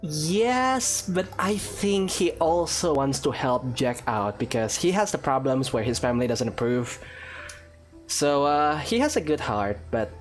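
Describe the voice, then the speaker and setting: Brief: a low-pitched woman streamer, lively, wry commentary over a game. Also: unhurried. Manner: joking, sarcastic asides. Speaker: gaming channel host. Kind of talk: casual let's-play commentary. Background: game music.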